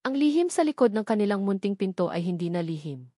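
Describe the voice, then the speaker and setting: A woman's voice: mid-range voice, very animated, quick pace, warm tone, dry recording. Filipino woman narrating drama, close and dry. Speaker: story narrator. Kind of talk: dramatic scripted romance narration.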